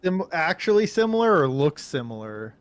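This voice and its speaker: A man with a creaky voice, bantering and roleplaying casually as a player in a tabletop game. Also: a little high, sing-song, moderate pace.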